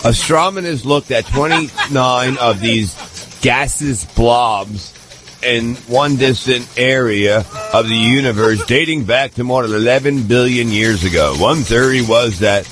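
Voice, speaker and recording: Man haltingly reading mock news, slow and noisy. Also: mid-range voice. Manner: halting, stumbling reading with mispronunciations. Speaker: comedy show news reader. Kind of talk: mock newscast read aloud.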